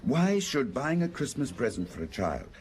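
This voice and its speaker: An actor playing a genial, urbane, inquisitive writer friend in a radio drama, gently probing as he talks. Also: medium pitch, sing-song, moderate pace, lightly acted.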